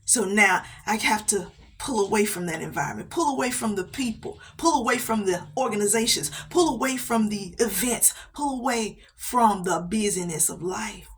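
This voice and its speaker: A woman giving a motivational faith talk, preaching with repeated phrases and soft laughs between points. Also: mid-range voice, normal speed. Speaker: inspirational speaker.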